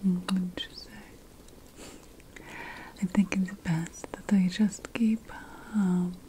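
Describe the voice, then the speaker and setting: A woman whispering in a breathy, gentle, conspiratorial way, playing a secretive ancient witch in an ASMR fantasy roleplay. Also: lowish voice, flat delivery, very slow. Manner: gentle, conspiratorial, addressing the listener as an assistant. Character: secretive ancient witch priestess researching magical potion plants.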